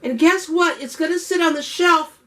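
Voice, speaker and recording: A middle-aged woman chatting through a home canning tutorial in a homey way, her voice worn and clearing her throat as she is losing it. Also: very high-pitched, moderate pace, bright, forceful tone. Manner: homey, chatty instructions to viewers. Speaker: home cook host.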